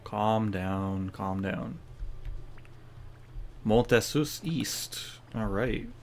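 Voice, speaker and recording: A man's voice: lowish voice, extremely slow, a little mellow, slight background noise. A man giving casual, chatty commentary as he streams a game playthrough, in a laid-back manner. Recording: faint game sound behind voice.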